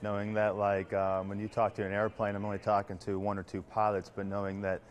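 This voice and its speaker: Male interviewee, lowish monotone, informal filler-laden speech. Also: brisk. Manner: informal, peppered with "like". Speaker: air traffic controller interviewee. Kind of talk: casual interview talk.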